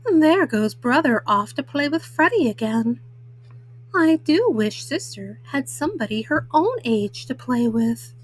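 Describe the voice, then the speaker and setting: A caring mother bear from a children's storybook, voiced by a woman reader. She speaks slowly and gently in a high, motherly voice. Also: big pitch swings, husky, heavily acted. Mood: wistful, concerned. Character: caring, wistful mama bear, grown female.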